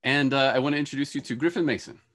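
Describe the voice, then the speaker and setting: A man's voice: medium pitch, steady tone, racing, a bit bright. A man in his forties hosts an online panel with a mid-range voice. He phrases things hesitantly and repeats words as he introduces speakers. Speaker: host and moderator, professor. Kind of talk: hosting a panel introduction on a video call. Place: indoor room with shelves, video call.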